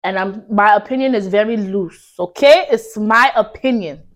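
A woman's voice: medium pitch, normal speed. A young woman in a casual podcast discussion, talking in slang and arguing her point assertively. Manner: slangy, assertive, devil's advocate.

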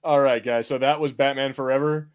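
A man's voice: mid-range voice, clear, resonant tone. A man hosting a casual podcast, chatting loosely about a movie and swearing now and then. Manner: fast, animated, swears casually. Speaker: podcast host. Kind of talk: casual film-watching podcast chat.

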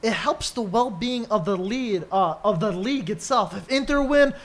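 A man with a strikingly high voice taking part in a casual chat. He teases the others and jumps in with quick, pointed questions. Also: animated. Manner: teasing, interjecting with quick questions.

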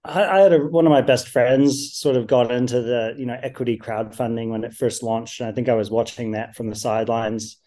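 A man's voice: mid-range voice, fairly even intonation, a little fast, hardly any pauses, dark tone. A man with an American accent that carries a New Zealand tinge, chatting as a podcast guest and talking freely with lots of "um" and "uh" fillers. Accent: American with some New Zealand tinge. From United States. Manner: chatty, many "um" and "uh" fillers, upbeat. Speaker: startup founder guest. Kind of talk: casual podcast interview.

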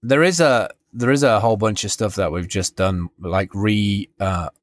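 A man's voice: on the low side, normal speed, no room sound, clean studio sound. A man co-hosting a podcast in casual, rambling chat, often interrupting himself as he tells a story. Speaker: podcast co-host.

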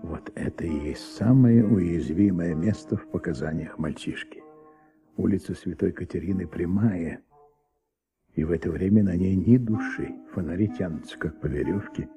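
An actor playing a calm, methodical police investigator in a Soviet radio play, with a measured, probing, polite way of speaking. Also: male voice, deep voice, music in the background. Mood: reflective. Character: calm, methodical male police investigator questioning a young witness.

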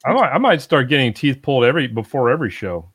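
A man's voice: medium pitch, big pitch swings, fast, a bit bright, slightly rough, no room sound. A man co-hosts a casual chat, trading dry, understated jokes. His voice sounds close and dead, with no room around it. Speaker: podcast co-host.

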